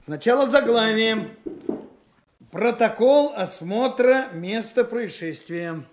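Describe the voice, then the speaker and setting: A senior investigator from a Soviet radio drama. His voice is high for a man and slow-paced. He gives brisk, businesslike instructions and spells things out with patient authority. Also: expressive, slight background noise. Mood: businesslike dictating. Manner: brisk, instructing, deliberate dictation. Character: brisk male senior criminal investigator, commanding but patient. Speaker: actor.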